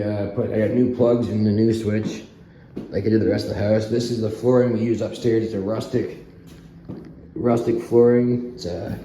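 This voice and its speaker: A hoarse man giving a relaxed, rambling walkthrough of his half-renovated basement, chuckling as he chats to his viewers. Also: on the low side, reverberant, slight background noise, low-quality recording. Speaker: vlogger. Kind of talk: casual walkthrough chat. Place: home basement under renovation.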